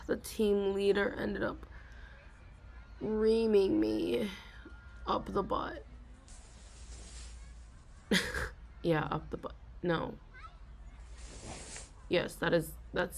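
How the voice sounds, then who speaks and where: A young woman in an ASMR role-play, confiding softly to a listener, giggling often and halting with restarts. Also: on the low side, fairly even intonation, creaky. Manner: soft, giggly, self-conscious, halting with many restarts.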